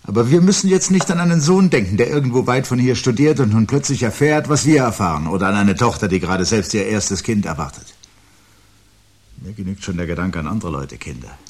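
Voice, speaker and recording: An actor playing a weary, sardonic husband in a 1970s German radio drama, speaking deliberately and rhetorically. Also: male voice, fairly low pitch, lively intonation, a little mellow, breathy edge. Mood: agitated bitterness. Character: weary, bitter, sardonic husband awaiting catastrophe.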